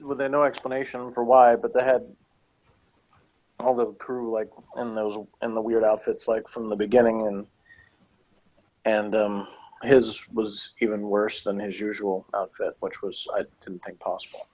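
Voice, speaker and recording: A man's voice: lowish voice, little pitch movement, moderate pace, darkish tone, poor audio. A man in a casual review chat, rambling and hedging as he argues his points as a co-host. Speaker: podcast co-host reviewing TV episodes.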